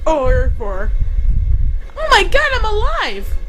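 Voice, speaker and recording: A woman plays an exasperated, foul-mouthed maid in an amateur cartoon parody. Her voice is extremely high and leaps about as she exclaims flippantly. Other voices clamour clearly behind her. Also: wildly swooping pitch, slow, crowd noise, full character voice, rough recording. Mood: resigned, flippant. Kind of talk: amateur comedic fandub, loose ad-libbed delivery.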